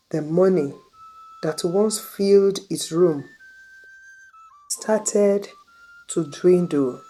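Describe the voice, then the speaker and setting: A woman narrates a folktale at a very slow, deliberate pace. Her voice is mellow and fairly low, and she leaves dramatic pauses between phrases. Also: low-quality recording. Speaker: storyteller.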